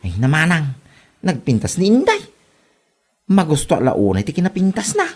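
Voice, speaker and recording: A woman's voice: sits low, wildly swooping pitch, normal speed, darkish tone, heavily acted. A woman playing a cheerful, smitten younger brother, dreamy and playful, in a comedic audio drama.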